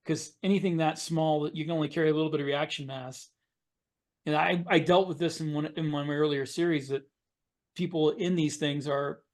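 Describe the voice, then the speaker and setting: A man talking casually as an author on a podcast panel, often repeating and rephrasing as he goes. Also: on the high side.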